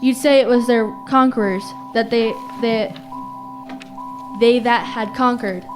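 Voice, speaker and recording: A woman's voice: on the high side, fairly even intonation, a little slow, lightly acted. Teenage girl as female radio announcer, urgent, declamatory, short phrases, verse audio play, music underneath. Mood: bitter dismay. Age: teens. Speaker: student actor. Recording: music behind the voice.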